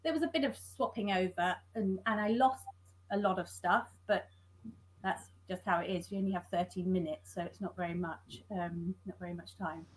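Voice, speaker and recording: Halting woman scriptwriter on a call. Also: on the low side, rough recording. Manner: halting, self-correcting, many restarts. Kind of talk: conversational interview. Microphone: video call.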